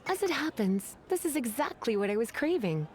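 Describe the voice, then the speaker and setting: Casting a coquettish, refined witch librarian for a game: highish, very expressive pitch, teasing delivery. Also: normal speed, slight background noise. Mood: pleased, teasing. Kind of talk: video game voice acting.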